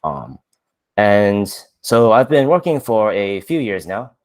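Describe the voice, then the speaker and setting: Low-voiced man in casual chat. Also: takes it slowly. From Canada. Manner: relaxed, self-deprecating, many fillers. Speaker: podcast co-host, software engineer. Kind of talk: casual podcast conversation.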